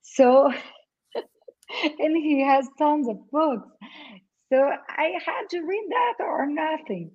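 A woman with a Brazilian Portuguese accent talks in a slow, unhurried way, laughing easily between her words. Her voice sits a little high for a woman. The recording sounds dull and lo-fi. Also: expressive, a little mellow. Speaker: guest, lawyer. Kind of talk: casual conversation.

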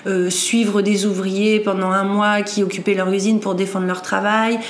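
A woman's voice: mid-range voice, flat delivery, moderate pace, roomy sound, a little hiss or hum. A woman in her forties from northern France, telling her life story as a podcast guest, hesitant with many fillers and restarts. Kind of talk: personal story in an interview.

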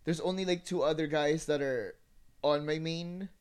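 A man's voice: a little high, steady tone, moderate pace, darkish tone, smooth. Candid, campy young man chatting. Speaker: podcast co-host. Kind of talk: casual chat among friends.

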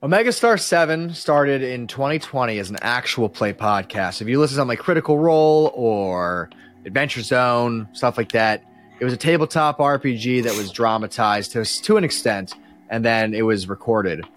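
A man chatting casually as a podcast guest, talking in a rambling, halting way with frequent restarts. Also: medium pitch, lively intonation, fairly bright tone, soft background music. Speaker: podcast guest, audio drama creator and musician.

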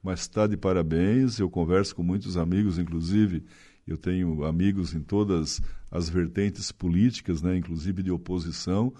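Middle aged guy, kinda low voice, light gaucho accent, city official rambling on earnestly in an interview. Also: fairly even intonation, normal speed, dark tone. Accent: slight gaúcho. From Santa Catarina, Brazil. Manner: long-winded, earnest, frequent tag questions. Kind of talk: conversational interview.

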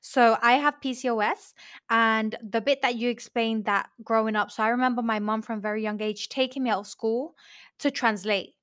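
A woman host with a fairly high voice, recorded close and dry. She reflects on her own past openly and at length, stringing her recollections together as she goes. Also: fairly even intonation, moderate pace, a bit bright, no echo. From born in Colombia, raised in London. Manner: open, reflective, talks in long run-on recollections. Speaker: podcast host. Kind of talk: podcast interview, personal sharing.

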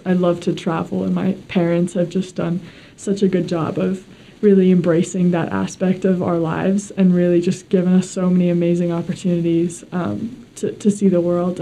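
Teen girl student, low even voice, mellow, slight room ambience. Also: gravelly, slight background noise, poor audio. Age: teens. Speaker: student interviewee. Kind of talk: casual interview answer.

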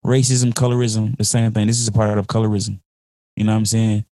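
Low, mellow man in heated rambling talk. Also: level tone, brisk, no echo. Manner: heated, rambling, repeats "you know what I'm saying". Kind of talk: casual podcast conversation.

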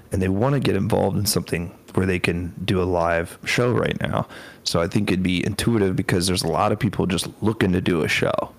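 A man explaining things in an informal, conversational way on a microphone set to sound bassy. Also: gravelly. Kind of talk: casual explaining. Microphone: close microphone set to sound bassy.

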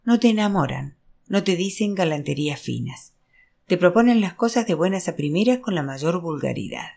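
A narrator voicing a frank, flirtatious divorced aunt in an audiobook novel, brisk, outspoken and teasing. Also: medium pitch, animated, gravelly, darkish tone. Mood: indignant, confiding.

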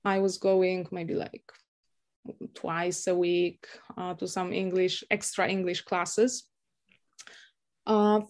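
Woman, young adult, from Poland, native Polish speaker, hesitant with many fillers, mid pitch. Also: a little mellow. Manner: hesitant, many fillers and restarts, laughs often. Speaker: guest, university lecturer. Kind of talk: casual interview conversation.

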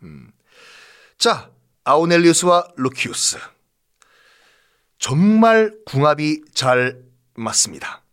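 A man's voice: fairly high pitch, big pitch swings, takes it slowly, long pauses, a bit bright, smooth. A man telling history as a host in a conversational, dramatic and jokey style with emphatic repetition. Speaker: history storyteller and podcast host. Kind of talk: animated conversational history storytelling.

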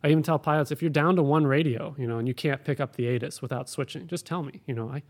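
A man's voice: a little high, fast. A man who works as an air traffic controller, answering interview questions in a plain, practical, explanatory way.

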